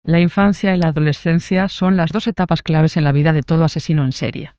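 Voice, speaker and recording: A woman's voice: low-pitched, steady tone, quick pace, a little mellow, dry recording, dull audio. A woman reading scripted true-crime documentary narration.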